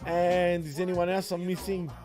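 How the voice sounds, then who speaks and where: A man's voice: high-pitched, level tone, normal speed, darkish tone. Man, Australian accent, podcast co-host, swears casually, laughs a lot, faint music behind. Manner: laid-back, swears freely, laughs often. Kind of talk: casual late-night wrestling podcast chat.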